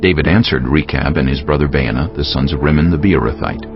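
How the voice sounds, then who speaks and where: A man with an extremely deep, creaky voice narrates scripture in a measured, formal way. His intonation moves a lot. Faint music sits under the slightly dull recording.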